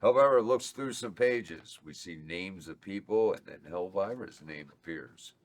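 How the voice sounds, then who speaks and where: Deep-voiced guy reading stage directions, plain and unhurried, stumbles a bit. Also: animated. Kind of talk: table read narration.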